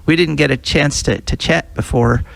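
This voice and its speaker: A male radio host with a heavily gravelly, fry-laden voice and an easygoing way of talking. He reads sponsor notes and nudges his co-host along. The sound is dry and close, with no room around it. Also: a little high, moderate pace, a bit bright, no room sound, low-quality recording. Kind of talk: casual radio chat.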